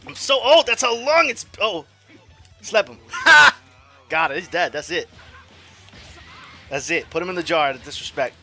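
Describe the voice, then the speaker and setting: A man in his forties talks through his online fighting game matches, muttering plans one moment and yelling at his opponent the next. His voice sits high and bright and swings widely in pitch. He curses freely and mocks himself between rounds, while game music plays faintly behind him. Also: lively intonation, normal speed, pauses often. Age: 40s. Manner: profane, self-mocking trash talk. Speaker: gaming YouTuber. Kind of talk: live gameplay commentary.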